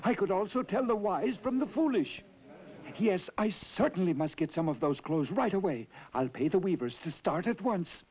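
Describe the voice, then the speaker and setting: Man playing a high-pitched pompous, vain emperor. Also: lively intonation, normal speed. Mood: eager, scheming. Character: vain, clothes-mad male emperor, eager and self-satisfied. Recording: dull lo-fi tape, loud music behind.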